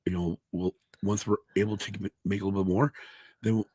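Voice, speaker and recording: A man co-hosting a casual podcast, hoarse from allergies, who rambles, restarts and swears a lot. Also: deep voice, fairly even intonation, quick pace, darkish tone, no echo. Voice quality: gravelly, husky. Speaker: podcast co-host. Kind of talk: casual chat.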